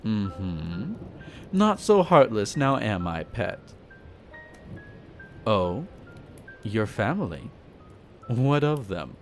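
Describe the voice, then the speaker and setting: A man performs an aristocratic male vampire in a roleplay audio drama, over background music. He draws out his words with theatrical pauses, his pitch sweeping up and down. The manner is formal and condescending, flirtatious yet possessive, like a master addressing his pet. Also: sing-song, heavily acted. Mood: hungry, teasing. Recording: music behind the voice.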